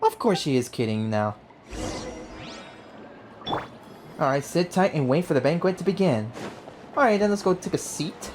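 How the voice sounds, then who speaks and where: Young man, lively intonation, casual let's play host, slangy, says bro often, light background music. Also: fairly high pitch, unhurried, pauses often. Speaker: gaming video host. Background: game music.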